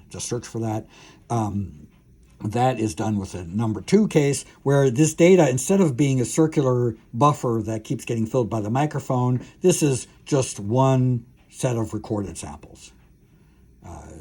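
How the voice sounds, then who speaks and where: Male professor, mid-pitched and a little husky; halting technical lecture delivery, thinking aloud as he explains. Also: darkish tone. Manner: halting, thinking aloud with frequent filled pauses and restarts.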